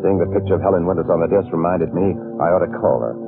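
An actor playing an anxious, guilty man telling his story in a vintage radio thriller, hesitant and halting with others. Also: low-pitched, steady tone, creaky. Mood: breathless, urgent. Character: anxious guilty man recounting his crime, smoothly feigning grief. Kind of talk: 1940s radio thriller acting, first-person narration. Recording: tinny old radio recording, music behind.

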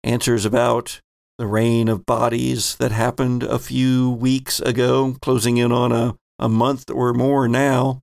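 A man hosting a tabletop game podcast, introducing and recapping the story as game master with theatrical, deliberate phrasing. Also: mid-range voice, level tone, vocal fry, a little mellow, no echo, studio quality.

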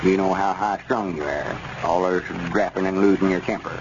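An actor playing a cautious, folksy small-town storekeeper with a rural Southern drawl in 1930s radio comedy style. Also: male voice, low voice, steady tone, normal speed, close to an everyday voice. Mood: exasperated. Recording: muffled, very noisy 1930s radio recording.

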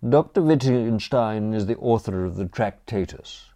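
A man's voice: lowish voice, animated, measured pace, warm tone, dry recording. Valet, male reader: unflappable, deferential, formally precise audiobook character, dry sound. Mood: calm, deferential.

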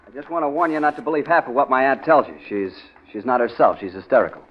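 An actor playing a defensive, irritable nephew in a 1940s radio drama, speaking in an insistent, dismissive way. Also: male voice, medium pitch, quick pace, slightly rough, close to an everyday voice. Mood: defensive, irritated. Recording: muffled old radio drama recording.

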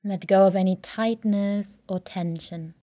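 A woman leading a guided sleep meditation in a calm, soothing, gentle way, leaving long pauses between phrases. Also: mid-range voice, dry recording, studio quality. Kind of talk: guided sleep meditation, soft scripted narration.

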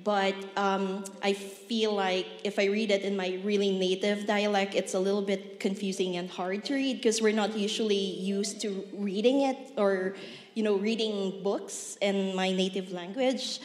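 A woman's voice: mid-range voice, flat delivery, measured pace, a little mellow. Woman in a reverberant church. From Philippines. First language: Hiligaynon. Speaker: scripture reader. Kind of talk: reading scripture aloud in church. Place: church hall. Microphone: handheld microphone.

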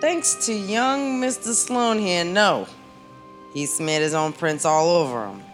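A woman playing a brisk, skeptical police captain interrogating suspects in a comedic radio play, wry and a little halting. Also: on the low side, lively intonation, soft background music.

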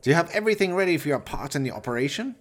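An actor playing a vain, pompous, scheming mad scientist villain in a radio play, with florid, theatrical, grandiose delivery. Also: male voice, on the high side, animated, racing. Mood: commanding, excited.

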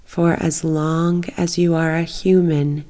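A woman leading a guided bedtime meditation, speaking in a soft, soothing, unhurried manner with long pauses. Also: sits low, level tone. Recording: long reverberant echo.

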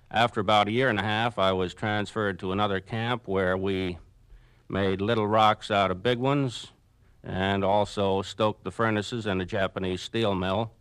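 Guy from brooklyn as old radio interview guest, lowish voice, careful formal wording, sounds scripted. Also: a bit bright, dry recording. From Brooklyn, New York. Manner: measured, precise, formal. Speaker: interview guest, former prisoner of war.

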